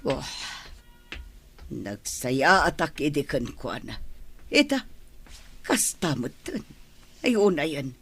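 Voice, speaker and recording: A woman's voice: sits low, very animated, takes it slowly, pauses often, fairly bright tone, faint music behind, exaggerated voice. A woman with an Ilocano accent playing an emotional, plaintive older village mother in a radio drama, exclaiming melodramatically. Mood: hurt.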